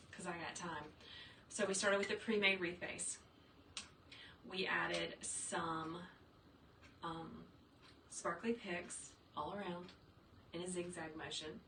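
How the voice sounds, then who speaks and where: A woman chatting upbeat and casual as she teaches wreath making in her home workshop, talking straight to viewers and answering their comments. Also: on the low side. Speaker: wreath maker teaching a craft. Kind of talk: casual livestream tutorial reading viewer comments. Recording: roomy, distant sound with faint room noise.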